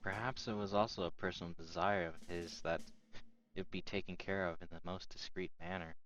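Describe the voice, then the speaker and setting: A man's voice: sits low, fairly even intonation, a little fast, fairly bright tone, highs cut off. A man reading scripted story narration from a visual novel aloud. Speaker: narrator reading translated game text aloud.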